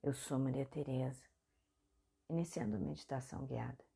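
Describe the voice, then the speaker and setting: Soft brazilian lady doing guided meditation, super breathy and crackly low voice. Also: moderate pace. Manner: soft, breathy, soothing delivery with short phrases. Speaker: guided meditation podcast host.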